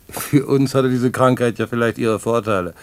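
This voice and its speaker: An actor playing a boastful small-time crook in a radio play, telling a story in chatty, colloquial slang. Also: male voice, medium pitch, measured pace, lightly acted. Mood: amused.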